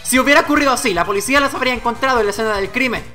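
A man's voice: extremely high pitch, racing, pushed and bright, soft background music, pitched above the performer's own voice. A man voicing an earnest, determined young defence lawyer in a courtroom video game, arguing insistently. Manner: insistent, argumentative.

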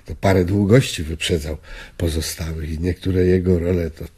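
An actor playing a reflective, disillusioned old gentleman in a radio drama, measured, earnest and world-weary. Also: sits low, fairly even intonation, slightly rough. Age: older.

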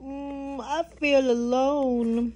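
A woman's voice: high voice, fairly even intonation, extremely slow, a little mellow, a little hiss or hum. A woman voicing a baby boy llama in a storybook, frightened, whimpering and calling plaintively for his mama. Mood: lonely.

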